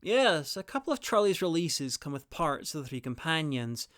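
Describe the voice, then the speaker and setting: A man's voice: on the high side, sing-song, normal speed, very noisy. A man hosting a review, reading scripted commentary and narration. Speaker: review video host.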